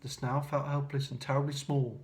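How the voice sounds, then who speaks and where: Male children's storyteller, medium pitch, expressive rhyming read-aloud, deliberate dramatic phrasing.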